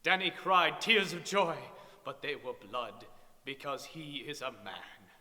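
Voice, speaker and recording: A man giving a mock-serious dramatic reading of a comic story, theatrical, with exaggerated pauses and swells. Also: fairly high pitch, big pitch swings, sharp tone. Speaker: story reader. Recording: reverberant hall.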